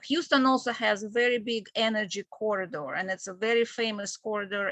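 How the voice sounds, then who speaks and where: Woman, Ukrainian accent, bright forceful tone, dry close sound, explanatory webinar presenter, hesitant phrasing. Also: mid-range voice, no echo. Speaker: university admissions outreach manager, presenter. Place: online video call with screen sharing.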